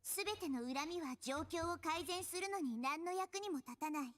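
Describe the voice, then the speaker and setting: High-pitched, earnest young goddess. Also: female voice, expressive, fast talker. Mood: pleading, resolute. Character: small, wise, earnest female nature deity, youthful and gentle. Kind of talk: Japanese video game voice acting. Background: game music.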